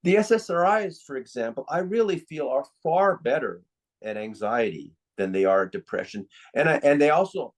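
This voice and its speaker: A man's voice: on the high side, animated, normal speed. An older man speaking as a psychiatrist in an interview, giving his opinions in a reflective, conversational way.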